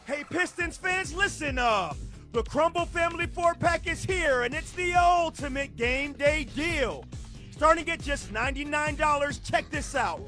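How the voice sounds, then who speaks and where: A man's voice: very high voice, lively intonation, measured pace, pushed and bright. A man reading a promotional radio ad aimed at sports fans. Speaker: ad announcer. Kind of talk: promotional ad read. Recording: music bed behind the voice.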